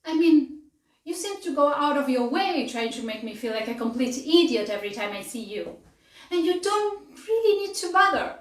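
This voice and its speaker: A woman playing a flustered, self-deprecating young woman in a film monologue, rushed and awkward. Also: high voice, sing-song, pitched above the performer's own voice. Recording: echoey room, far from the mic.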